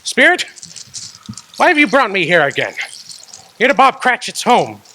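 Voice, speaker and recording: Man doing a scared old miser, stammering, pleading, high bright voice, swinging pitch, radio play. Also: huge pitch swings, moderate pace, a little hiss or hum, full character voice. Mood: anguished. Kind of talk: amateur radio drama acting.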